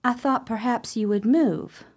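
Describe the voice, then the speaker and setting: A woman's voice: fairly high pitch, a little slow, darkish tone, husky. A woman acting a frightened, grieving sister in a radio play, haunted and tearful. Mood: subdued, evasive.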